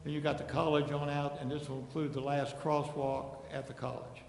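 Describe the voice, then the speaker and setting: A man's voice: a little high, level tone, brisk, darkish tone. A man explaining technical details informally as a staff member at a public meeting in a large council chamber. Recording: echoey meeting hall, faint noise.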